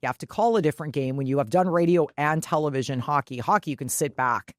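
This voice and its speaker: A woman's voice: low-pitched, quick pace, no echo, studio quality. A woman co-hosting a casual sports talk show, retelling stories conversationally by acting out who said what. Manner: conversational, quotes herself and others. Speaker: sports podcast co-host. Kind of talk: casual chat, animated retelling.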